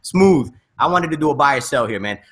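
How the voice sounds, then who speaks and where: A man's voice: mid-range voice, animated, a little fast. A man hosting a casual podcast in slangy, emphatic hype-man banter. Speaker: podcast host.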